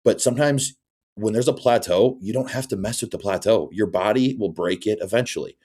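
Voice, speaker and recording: Man in his twenties, deep voice, laid-back coach talking shop on a podcast. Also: brisk. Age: 20s. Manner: relaxed, sprinkles in "bro" and "you know what I'm saying". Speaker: bodybuilding coach and guest. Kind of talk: casual podcast chat.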